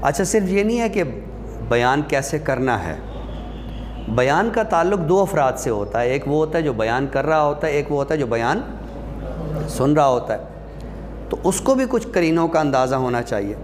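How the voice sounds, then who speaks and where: A man giving an explanatory class lecture in a deliberate manner, pausing and posing questions that he then answers. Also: on the high side, lively intonation, slight background noise. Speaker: lecturer teaching Urdu rhetoric.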